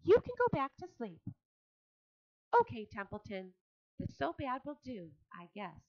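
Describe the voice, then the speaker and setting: A woman's voice: on the high side, a little slow, no room sound, pitched above the performer's own voice, exaggerated voice. A woman voicing a kind, wise female barn spider, coaxing and polite. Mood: urging, warm. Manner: bright, lively, coaxing and polite. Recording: dull lo-fi audiobook recording.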